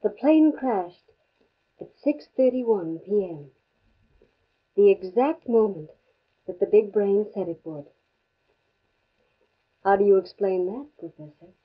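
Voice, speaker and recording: A woman's voice: mid-range voice, lively intonation, takes it slowly, pauses often, husky. An actress playing a soothing, grave woman at a bedside in a 1950s radio drama. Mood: pointed, ominous. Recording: dull, lo-fi old radio recording.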